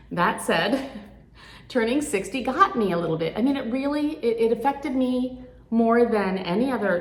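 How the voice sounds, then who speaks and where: A woman of sixty talking candidly and earnestly to her viewers, reflecting at a rambling, thoughtful pace. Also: sits low. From United States. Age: 60s. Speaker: vlogger. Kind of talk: candid reflective chat to camera. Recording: echoey room.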